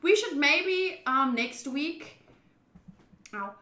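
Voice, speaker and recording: A woman chatting casually on a livestream about a visual novel, expressive and thinking aloud as she goes. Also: high voice, very slow, reverberant. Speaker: streamer playing a visual novel.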